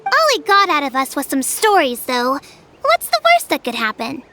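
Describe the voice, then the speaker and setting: A woman voicing a lively, candid and innocent young child in a video game. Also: high-pitched, moderate pace, slight background noise, full character voice. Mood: curious. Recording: long reverb. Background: music.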